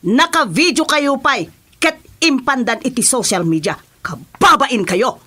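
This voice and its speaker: A woman's voice: sits high, animated, a little slow, pushed and bright, husky, exaggerated voice. A woman with an Ilocano accent playing a bossy, exclamatory female village captain in a radio comedy drama. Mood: scolding. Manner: exclamatory, scolding.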